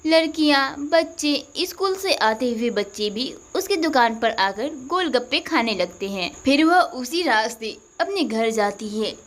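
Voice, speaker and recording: Casting: woman narrator for cartoon tales, high-pitched voice, scripted storytelling delivery. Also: animated, a little slow, few pauses.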